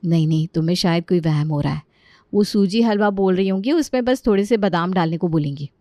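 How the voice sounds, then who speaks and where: A woman's voice: fairly low pitch, brisk, dark tone, no echo, studio quality, close to an everyday voice. Woman as a trusting, mild young daughter-in-law. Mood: doubtful.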